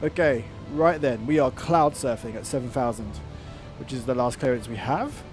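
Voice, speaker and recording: A man's voice: medium pitch, moderate pace, soft background music. A man giving casual, enthusiastic commentary while playing a flight simulator. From outside the United States. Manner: chatty, enthusiastic. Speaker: flight sim content creator.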